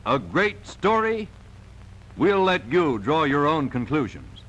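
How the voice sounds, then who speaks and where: A man's voice: a little high, fairly bright tone, low-quality recording. A man reading scripted narration for a 1940s sales film in an emphatic, polished salesman's delivery, on a vintage film soundtrack.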